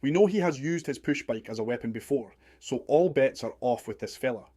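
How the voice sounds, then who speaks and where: A man's voice: mid-range voice, lively intonation, brisk, warm tone, dry recording, studio quality, lightly acted. A man playing a sardonic, slangy wrestling commentator in a scripted radio drama. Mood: wry. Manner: wry, blunt, slangy.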